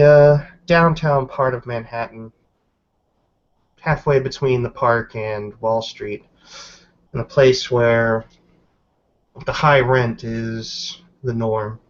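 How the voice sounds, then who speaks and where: Man narrating a roleplay, slightly muffled sound. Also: mid-range voice. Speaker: game master and host. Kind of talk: narrating a tabletop roleplaying game. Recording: slightly dull lo-fi mic.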